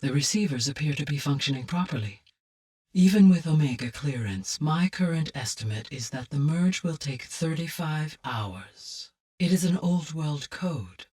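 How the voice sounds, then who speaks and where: Female game AI, calm and caring, low husky tone, formal precise wording, composed delivery, slightly off-mic. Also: distant mic, heavily acted. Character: calm, caring, highly intelligent female artificial intelligence. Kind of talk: measured, scripted game dialogue.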